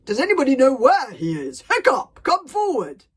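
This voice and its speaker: A woman voicing a blustering, uncomfortable Viking chief in a storybook, loud and halting as he feigns command. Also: fairly high pitch, big pitch swings, husky, voice pushed up, exaggerated voice. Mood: flustered, uncomfortable. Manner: loud, flustered, halting, self-important.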